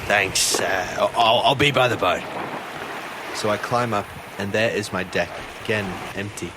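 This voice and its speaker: An actor playing an earnest, haunted fisheries officer who tells his story haltingly in a first-person audio drama. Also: on the low side, background noise, close to an everyday voice. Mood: uneasy. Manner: halting, earnest, stammering when pressed. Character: haunted male fisheries officer recounting a ghost-ship encounter. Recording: loud boat and sea ambience behind.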